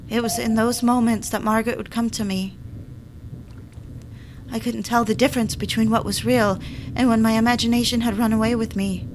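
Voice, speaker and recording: A woman's voice: on the high side, steady tone, brisk, close to an everyday voice. A woman playing a troubled woman who narrates her slide into madness in a confiding, reflective way. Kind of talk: scripted first-person audio drama narration. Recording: clear background noise, vehicle sounds.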